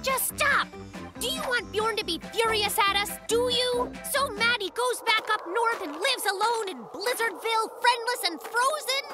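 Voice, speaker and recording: An actor voicing an excitable, cocky little brown bear cub in a children's cartoon, boastful and theatrical in manner. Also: extremely high pitch, normal speed, loud music behind the voice. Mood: bossy.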